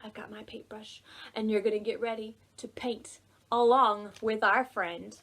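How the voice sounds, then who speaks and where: A woman hosting a preschool storytime, telling stories to young children in an expressive way, with drawn-out words and soft, hushed phrases. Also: mid-range voice. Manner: addresses children directly with simple questions.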